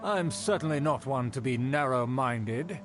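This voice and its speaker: A man's voice: medium pitch, expressive, unhurried, close to an everyday voice. An actor playing a pompous, snobbish middle-aged nobleman who speaks formally and condescendingly. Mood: haughty. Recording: game dialogue with music behind.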